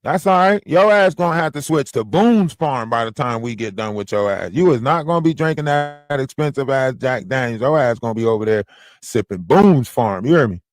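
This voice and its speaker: A man's voice: medium pitch, animated, fairly quick. A man talking in a casual live stream, emphatic and repetitive, often asking if he is heard. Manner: emphatic, repeating "you hear me".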